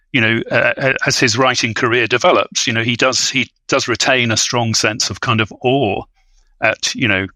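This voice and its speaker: A man speaking as an expert podcast guest in a halting, scholarly style of academic discussion. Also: mid-range voice, pushed and bright, dry recording.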